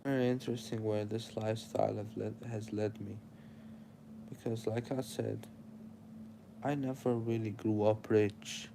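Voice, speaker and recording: Tired young dude w arabic accent lecturing his stream chat, low gravelly voice, noisy mic. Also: little pitch movement, measured pace. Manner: preachy, addresses his chat directly. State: sleepy and tired. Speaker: livestreamer. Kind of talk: casual livestream chat giving life advice.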